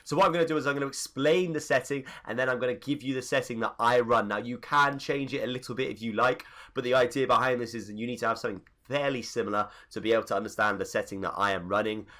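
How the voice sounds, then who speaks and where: A man's voice: medium pitch, fast, few pauses, sharp tone. A man with a stuffy, congested voice from a cold, giving a chatty game settings tutorial with rambling asides. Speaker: gaming YouTuber. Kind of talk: casual settings tutorial voice-over.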